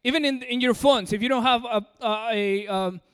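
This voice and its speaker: A man's voice: very high voice, moderate pace, smooth. A man from Peru with an American-sounding accent, preaching a sermon and coaxing the audience directly. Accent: American-sounding English. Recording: long hall reverb.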